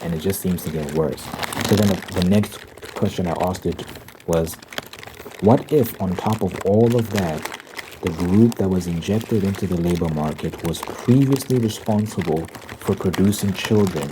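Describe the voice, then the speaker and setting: Low-voiced man rambling in ASMR style. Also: normal speed, noisy background. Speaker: host of an ASMR rambling channel. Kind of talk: ASMR ramble with reading aloud.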